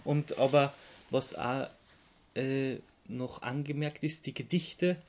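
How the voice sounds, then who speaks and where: Male podcast host, Austrian accent, gravelly fry, informal explainer, halting delivery. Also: mid-range voice, darkish tone. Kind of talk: casual podcast chat, explaining.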